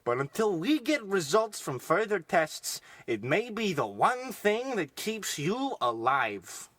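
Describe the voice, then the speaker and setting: Guy doing a fumbly weird doctor, high voice all over the place, old radio comedy style, stammers a lot. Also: sing-song, slow, a bit bright, no echo, full character voice. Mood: gravely advising. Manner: hesitant, stammering, then grandly pronouncing. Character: fussy, fumbling, oddball male doctor. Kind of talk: parody of old radio drama acting.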